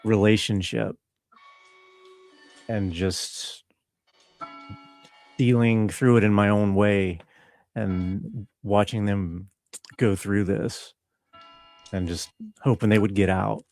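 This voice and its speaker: A man chatting casually as an interview guest, explaining his creative work in a laid-back way with pauses. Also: on the low side, fairly even intonation, darkish tone, soft background music. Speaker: interview guest, composer and musician. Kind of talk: casual conversational interview talk.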